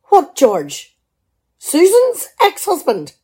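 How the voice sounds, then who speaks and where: A woman's voice: high voice, huge pitch swings, slow pace, mellow, full character voice. A middle-aged woman, playing a shrewd, teasing, practical wife in a radio play. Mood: exasperated, suspicious. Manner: dry, bantering, probing. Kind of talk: amateur radio play dialogue.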